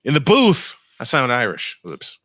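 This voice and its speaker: A man's voice: mid-range voice, wildly swooping pitch, unhurried, pushed and bright, no room sound. A man doing live baseball play-by-play on a stream, mixing in casual chat and rambling as he thinks aloud.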